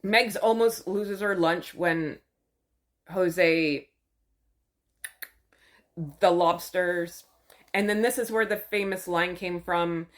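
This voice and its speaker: A woman's voice: on the low side, slow, long pauses. Woman host doing a snarky show recap, casual and chatty, lots of side comments. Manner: chatty, wry, full of asides. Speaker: channel host.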